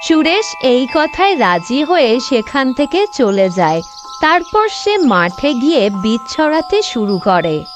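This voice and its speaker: A woman's voice: sits high, big pitch swings, slow pace, over music. A woman narrating a scripted children's story as the storyteller.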